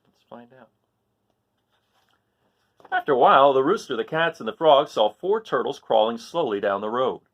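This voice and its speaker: A man reading a picture book aloud to children, pausing to count along and quiz his young listeners. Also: mid-range voice, expressive, muffled recording.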